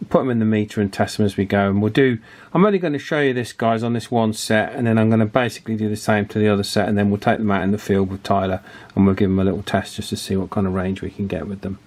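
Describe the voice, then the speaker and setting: A man's voice: on the low side, steady tone, fast, darkish tone. A man talking informally and thinking aloud as he gives a casual, hands-on technical commentary. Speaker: electronics hobbyist presenting a repair video.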